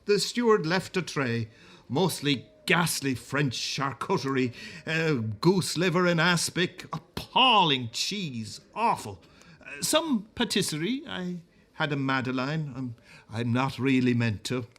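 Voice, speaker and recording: An actor playing a celebrated, convalescing Irish singer in a radio drama, genteel and earnest, with a hesitant, fussy delivery. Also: male voice, fairly high pitch, lively intonation, measured pace, a bit bright.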